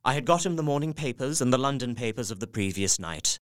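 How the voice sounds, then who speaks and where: An actor playing an earnest, newly resolute young husband, reading his journal in a measured, literary way for an audio drama. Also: medium pitch, lively intonation, no echo, clean studio sound, lightly acted. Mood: touched, reflective.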